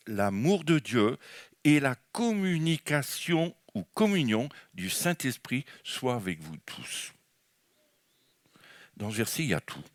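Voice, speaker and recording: A man's voice: mid-range voice, fairly bright tone, no room sound, studio quality. A man from France with a French accent, preaching an enthusiastic, rambling charismatic sermon in church with many restarts. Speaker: guest preacher.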